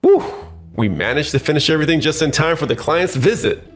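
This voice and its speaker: A man's voice: on the high side, expressive, fast, soft background music, lightly acted. A man playing a scheming, self-important office manager with pushy corporate formality in a manga dub. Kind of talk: scripted manga dub acting.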